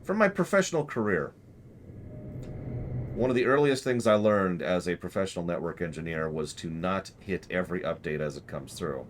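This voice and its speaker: A man's voice: low-pitched, expressive, normal speed, a little hiss or hum. A man chatting casually on a game livestream, rambling in a wry way. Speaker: game streamer.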